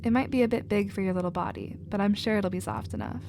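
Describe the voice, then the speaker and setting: A woman playing a protective, nurturing female alien with a casual, expressive manner in a sci-fi ASMR roleplay. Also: mid-range voice, fast, background noise, natural voice. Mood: tender, bubbly.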